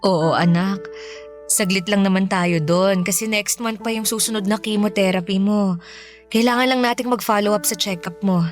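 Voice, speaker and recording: A woman's voice: medium pitch, moderate pace, soft background music, natural voice. Woman acting a tender, protective mother. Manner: gentle, reassuring. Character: tender, protective widowed mother of two boys. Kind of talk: Filipino radio drama acting.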